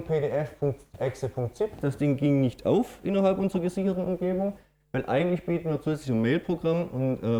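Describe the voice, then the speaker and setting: Swabian-accented presenter, middle-aged, mellow dark voice, explains plainly with filler sounds. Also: male voice, medium pitch. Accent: Swabian German. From Swabia, Germany. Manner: explanatory, matter-of-fact, with frequent "ähm" fillers. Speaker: conference speaker. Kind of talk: technical conference presentation.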